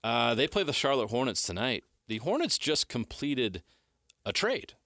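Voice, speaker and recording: A man hosting a sports radio show, brisk as he leads the segments and reads out the news and injury lists. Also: medium pitch, sing-song, sharp tone, no room sound, studio quality. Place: radio studio. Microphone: studio microphone.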